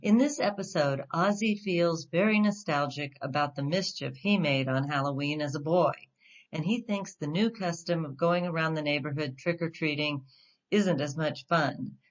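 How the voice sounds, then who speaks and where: A female radio host with a low voice delivers a scripted introduction. The sound is a little muffled. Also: normal speed.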